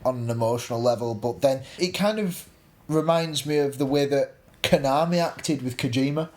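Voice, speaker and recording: A man's voice: mid-range voice, moderate pace, a little mellow. A man giving his opinions in a casual, conversational video game discussion.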